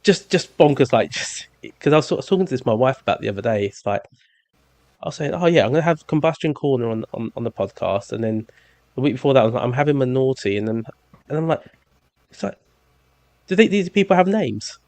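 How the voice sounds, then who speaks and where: A London-accented man in his thirties rambles through a relaxed podcast chat, cracking jokes and filling gaps with ums. His voice sits in a middle range and is recorded close and dry, with no room sound. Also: mid-range voice, fast talker, dry recording. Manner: rambling, jokey, many ums. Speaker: podcast host. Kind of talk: casual chat.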